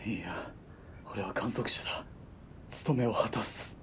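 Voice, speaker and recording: An actor playing a wounded, stoic military spotter in an audio drama, strained and clipped. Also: male voice, fairly low pitch, unhurried, fairly bright tone, a little hiss or hum. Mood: strained, resolute. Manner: clipped, reporting in military terms. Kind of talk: Japanese audio drama acting.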